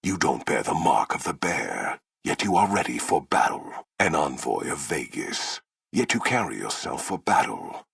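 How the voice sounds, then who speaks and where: An actor playing a merciless, imperious warlord in a video game, with a gravelly, husky voice and grandiose, menacing, formal speech. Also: male voice, extremely low pitch, very animated, moderate pace, a bit bright, dry recording, studio quality, exaggerated voice. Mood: haughty challenge. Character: merciless, imperious warlord commanding a slave army. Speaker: army commander. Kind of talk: theatrical video game villain dialogue.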